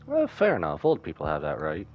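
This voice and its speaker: A man's voice: rumbling low, huge pitch swings, brisk, darkish tone, muffled recording, soft background music. A man live-streaming a casual reaction to a game, commenting in a playful, slangy way.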